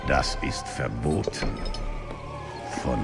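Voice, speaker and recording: An actor playing a towering vengeful devil lord in a dramatic video game dub, grandiose, menacing and theatrical. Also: male voice, extremely low pitch, expressive, drawn-out words, vocal fry, loud music behind the voice, exaggerated voice. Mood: cold, menacing.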